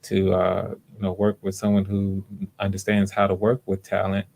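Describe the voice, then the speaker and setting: Male host and casting director, deep-ish voice, flat delivery, low-key and hesitant, casual livestream talk. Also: normal speed, creaky.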